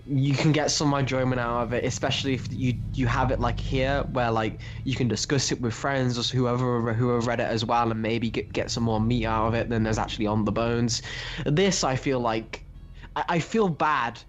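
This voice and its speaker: A man with a medium-pitched voice gives opinions as a podcast panelist. He speaks casually and haltingly, rambling and restarting as he builds his point. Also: steady tone. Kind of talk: casual comic book discussion.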